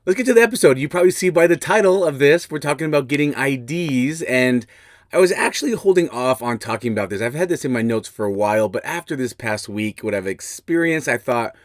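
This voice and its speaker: A man's voice: medium pitch, animated, brisk, dry recording, clean studio sound. A man hosting a podcast with a slightly scratchy voice, explaining things casually and restarting his sentences as he thinks aloud. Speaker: podcast host. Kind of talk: casual instructional podcast talk.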